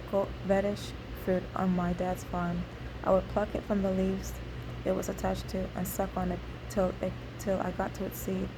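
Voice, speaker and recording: Woman narrator, memoir reading, level monotone delivery, clear background hiss. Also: medium pitch. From Nigeria. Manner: steady, unhurried reading with occasional restarts. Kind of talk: reading her memoir aloud.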